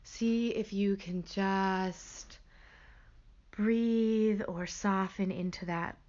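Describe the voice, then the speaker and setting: A woman leading a guided meditation as a teacher, gentle and hushed, with pauses between short phrases. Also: mid-range voice, fairly even intonation, highs cut off.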